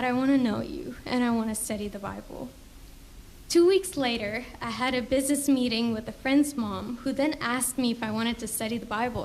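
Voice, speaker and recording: A young woman gives a sincere, earnest testimony before her church. Her voice sits high and light. A faint murmur of room sound is heard behind her. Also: slight background noise. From Indonesia. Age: young adult. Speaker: church member giving testimony. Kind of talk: personal testimony speech. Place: church service.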